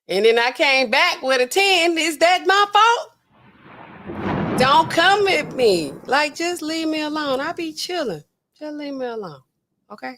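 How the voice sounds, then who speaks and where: A woman, hosting a casual livestream and chatting through viewer comments in a sassy, animated way. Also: high voice. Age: 40s. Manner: chatty, sassy, animated swings between loud and hushed. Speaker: livestream host.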